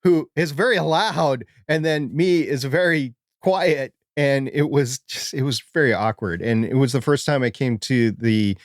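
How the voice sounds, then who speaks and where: A man co-hosting a casual podcast chat in a soft, quiet voice with a steady, consistent delivery. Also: medium pitch, a little slow, few pauses, dry recording, studio quality. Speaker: podcast co-host.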